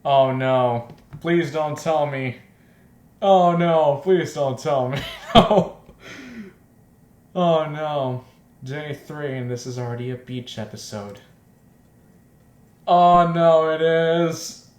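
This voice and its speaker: A man reading a visual novel aloud as a let's player, breaking in with loud, repetitive joking reactions. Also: on the high side, slow, pauses often. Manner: exclaims loudly and repeats himself in reaction. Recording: roomy echo, faint background noise.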